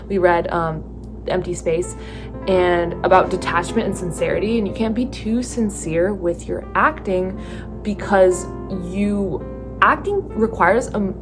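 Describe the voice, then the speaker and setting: A young woman with a Californian accent speaks in a lowish, tired voice. She takes her time, restarts her sentences and fills pauses with "um" and "like" as she reflects to the camera. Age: young adult. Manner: slow, thoughtful, with many fillers and restarts. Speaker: theatre student vlogger. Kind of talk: casual reflective talk to camera.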